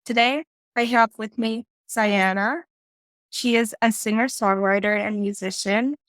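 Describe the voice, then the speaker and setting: Higher-voiced woman hosting a podcast. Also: steady tone, a little slow, fairly bright tone, no echo, studio quality. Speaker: podcast host, interviewer. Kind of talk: scripted intro and interview questions.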